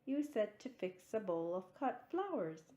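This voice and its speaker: Storybook housekeeper, female, voiced by a woman narrator: cheerful, literal, matter-of-fact, unhurried delivery in a roomy space. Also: medium pitch, very animated, warm tone. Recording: roomy echo.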